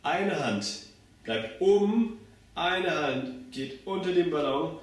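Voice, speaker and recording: A man's voice: on the high side, animated, slow pace. A young man giving a step-by-step demonstration as an instructor, physically active as he talks, recorded by a camera mic across a bare room. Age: young adult. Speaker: circus pantomime instructor. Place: bare indoor room with hard walls. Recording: very echoey room, far from mic.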